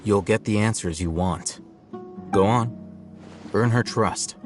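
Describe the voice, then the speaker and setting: A composed scholar ally in a video game who speaks in a calm, measured way. His voice sits somewhat low, with clear game music playing behind. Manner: calm, measured and matter-of-fact. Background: game background music.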